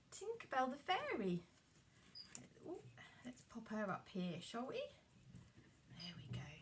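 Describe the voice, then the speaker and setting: A woman's voice: sits high, far from the mic, slight background noise. A woman telling a story to young children in a puppet show, addressing them directly with exaggerated expression.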